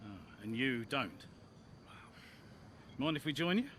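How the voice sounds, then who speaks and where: Bright-voiced, blunt older mentor. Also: male voice, mid-range voice, lively intonation, slow pace, slight background noise. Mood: breezy, coaxing. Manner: blunt, coaxing. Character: blunt, pushy older male racing team mentor. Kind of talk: video game cutscene acting.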